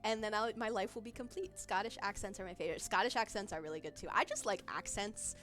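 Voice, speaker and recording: A woman's voice: medium pitch, fast, a bit bright. A young woman streamer chatting casually with her chat in a giggly, rambling way, full of fillers. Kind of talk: casual livestream chat, reading chat. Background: faint background music.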